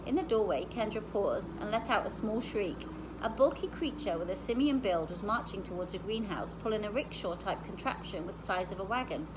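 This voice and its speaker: A woman reading a children's novel aloud in an expressive storytelling style. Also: mid-range voice, a little fast, background noise.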